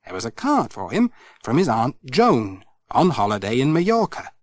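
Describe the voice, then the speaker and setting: Expressive man reading a children's story, very lively pitch. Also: moderate pace, no echo. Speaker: audiobook narrator. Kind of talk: scripted children's story narration.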